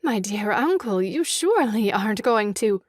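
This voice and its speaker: A woman's voice: mid-range voice, lively intonation, normal speed, dry recording, studio quality, natural voice. Woman playing a melodramatic, wronged young wife. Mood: pleading. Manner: melodramatic, plaintive. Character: wronged young wife, theatrically self-dramatizing niece. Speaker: voice actor. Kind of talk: stage farce audio drama acting.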